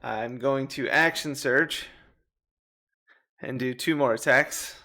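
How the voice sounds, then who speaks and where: A man's voice: mid-range voice, takes it slowly, bright, forceful tone. A man talking casually as a player in a tabletop roleplaying game.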